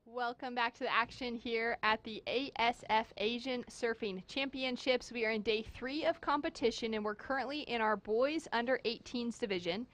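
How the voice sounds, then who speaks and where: A woman's voice: a little high, moderate pace, hardly any pauses, fairly bright tone, no echo. A woman commentating a surfing contest live, with upbeat and fluent play-by-play. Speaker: surf commentator.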